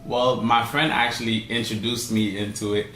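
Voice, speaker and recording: A man's voice: fairly low pitch, level tone, normal speed, a bit bright, a little hiss or hum. A young man from Trinidad answering interview questions, hesitant, with many fillers and restarts. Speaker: guest, steelpan arranger. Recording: echoey room, faint ambience.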